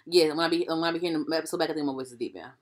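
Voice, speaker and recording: A woman's voice: low-pitched, rapid-fire. A young woman co-hosting a podcast, chatting animatedly about music and often repeating herself. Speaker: podcast co-host. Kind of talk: casual chat about music.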